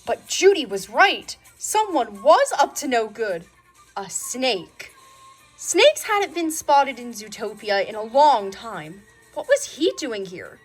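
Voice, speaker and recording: A woman reading a children's storybook aloud in an expressive storytelling style, as narrator. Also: on the high side, slow, husky, soft background music, rough recording. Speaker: storybook reader.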